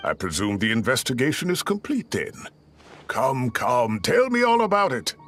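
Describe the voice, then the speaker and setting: An impatient male professor in a video game, speaking in a very animated, swooping voice. He is fussy and sarcastic, yet caring, with faint game music underneath. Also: medium pitch, moderate pace.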